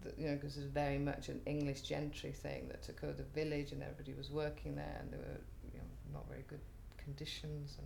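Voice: mid-range voice, completely flat delivery, quick pace, gravelly, hardly any pauses, darkish tone, a little hiss or hum. A middle-aged native Welsh speaker with a Welsh accent, telling stories in an interview with many fillers and restarts. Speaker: interviewee, community cafe organiser. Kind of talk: relaxed storytelling interview.

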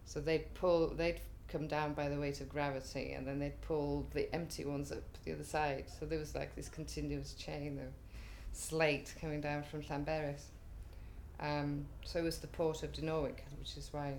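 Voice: mid-range voice, level tone, normal speed, creaky, darkish tone, a little hiss or hum. A middle-aged native Welsh speaker with a Welsh accent, telling stories in an interview with many fillers and restarts. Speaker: interviewee, community cafe organiser. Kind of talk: relaxed storytelling interview.

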